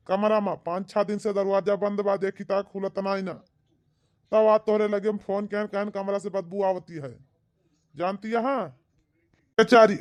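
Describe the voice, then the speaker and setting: A man's voice: high-pitched, a little mellow. A man playing a helpful, matter-of-fact villager in an amateur cartoon, speaking earnestly and directly with an Awadhi accent. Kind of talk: amateur comedy cartoon dialogue in rural dialect.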